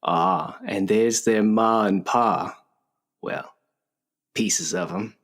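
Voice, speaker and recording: A man's voice: on the low side, fairly even intonation, slow pace. A man playing a smirking, folksy, remorseless killer in a radio play, drawling and mocking as he savors his words. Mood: gleeful relish.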